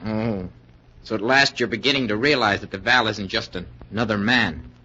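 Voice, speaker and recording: A man's voice: on the low side, moderate pace, pushed and bright, slightly rough. An actor playing an earnest, suspicious suitor in a 1940s radio thriller, urgent and insistent in his delivery. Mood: grim, warning. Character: suspicious, jealous, earnest male suitor warning of danger. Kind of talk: 1940s radio suspense acting. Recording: muffled 1940s radio recording.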